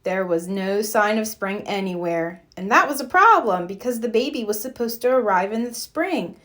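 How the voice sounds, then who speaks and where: Expressive woman reading a storybook aloud. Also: mid-range voice. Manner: slow, gentle, expressive. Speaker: children's book reader. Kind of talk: read-aloud storybook narration.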